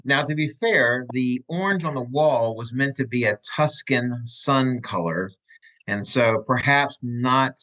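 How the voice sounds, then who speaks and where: A man of average pitch hosts a relaxed chat podcast and tells rambling, joking stories from a room at home. He talks over a video call. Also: measured pace, rough recording. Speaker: podcast host. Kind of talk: casual chat.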